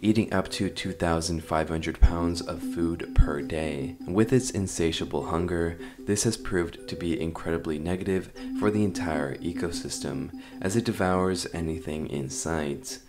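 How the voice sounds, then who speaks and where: A man's voice: low-pitched, unhurried, few pauses. A man hosting a horror explainer video, giving scripted commentary with casual asides to his viewers. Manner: addresses viewers informally. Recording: music behind the voice.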